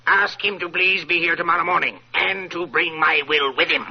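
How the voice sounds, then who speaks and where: An actor playing a cantankerous, demanding rich employer in an old-time radio drama, curt and threatening, with a husky, gravelly voice. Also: male voice, fairly high pitch, fairly even intonation, moderate pace, noisy background, lightly acted. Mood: stern, menacing. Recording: dull vintage radio recording.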